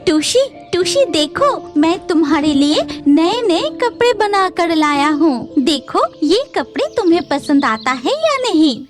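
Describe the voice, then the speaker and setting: A woman voicing an earnest young cartoon weaver bird, sweet and expressive, with music behind her. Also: very high-pitched, a little slow, hardly any pauses, heavily acted. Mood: eager, proud. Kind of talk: cartoon character acting.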